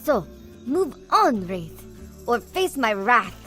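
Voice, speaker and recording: A woman's voice: a little high, big pitch swings, slow, sharp tone, soft background music, heavily acted. A woman playing a proud, dramatic cartoon singer heroine who sprinkles her speech with Spanish. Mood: defiant. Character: feisty, proud, self-doubting woman singer, defiant underdog. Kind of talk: animated cartoon voice acting.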